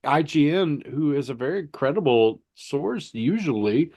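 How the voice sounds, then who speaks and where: A man's voice: fairly high pitch, animated, darkish tone. Stuffy-nosed man chatting on a podcast. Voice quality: nasal, stuffy. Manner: halting, repeats words, storytelling. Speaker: podcast co-host. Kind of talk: casual podcast chat.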